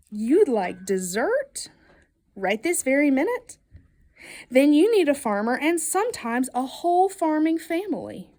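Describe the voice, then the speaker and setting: A woman reads a children's picture book aloud with a fairly high voice. Her pitch moves up and down in a lively way, and she stresses key words like a storyteller engaging young listeners. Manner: expressive, emphatic storytelling delivery with playful questions. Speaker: storybook reader.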